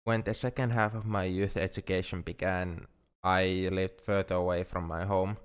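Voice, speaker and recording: A man's voice: low-pitched, level tone, moderate pace, clean tone, close to an everyday voice. A man playing a shy, self-blaming young male alien in an ASMR roleplay, speaking in a hesitant, earnest way. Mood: wistful, sad. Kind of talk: ASMR roleplay audio drama.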